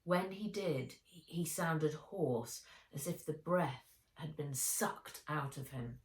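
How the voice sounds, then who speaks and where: A woman reading a children's adventure story aloud with dramatic, deliberate delivery. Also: deep voice. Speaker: author reading her own book aloud. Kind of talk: expressive children's audiobook narration. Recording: roomy echo, distant from mic.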